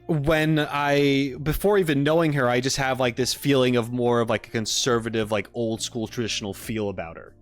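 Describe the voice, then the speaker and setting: A male streamer talks casually to his chat in a mid-pitched voice, with faint music behind him. He rambles through his thoughts in a tentative way, often hedging and qualifying what he thinks. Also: steady tone, moderate pace, few pauses. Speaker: streamer reacting with live chat.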